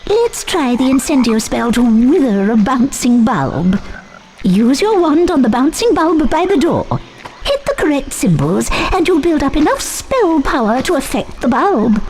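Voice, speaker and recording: Brisk female magic teacher, high voice, animated, encouraging, video game tutorial, noisy recording. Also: exaggerated voice.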